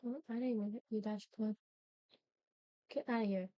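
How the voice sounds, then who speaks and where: A woman's voice: mid-range voice, steady tone, normal speed, no echo, dull audio. A woman streaming a game, chatting casually and reading the game's text aloud. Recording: home stream mic with faint noise.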